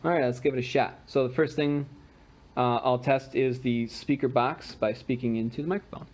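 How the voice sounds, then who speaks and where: A man's voice: medium pitch, fairly even intonation, normal speed, darkish tone. A man explaining and demonstrating a homemade gadget in an informal, unpolished, conversational way, like a hobbyist.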